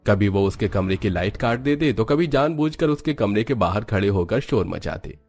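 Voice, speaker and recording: Low-pitched male story narrator. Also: expressive, moderate pace, darkish tone.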